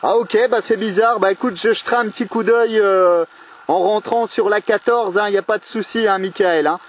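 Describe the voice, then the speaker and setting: A man's voice: high voice, moderate pace. A man chatting casually as an amateur radio operator, trading call signs and friendly remarks.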